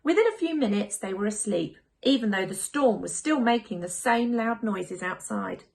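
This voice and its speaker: A woman narrates a children's picture book in a very lively, melodic way. Her voice is fairly high, with some creak at the edges. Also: normal speed. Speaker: primary school teacher reading a story.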